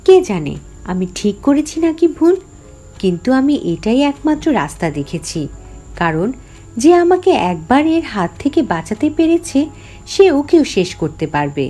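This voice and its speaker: A woman's voice: sits high, very animated, unhurried, mellow, soft background music, exaggerated voice. A woman playing a cunning, defiant young heroine who tells her horror story in an emphatic, theatrical way. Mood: tense narration. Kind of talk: dramatic first-person horror story narration.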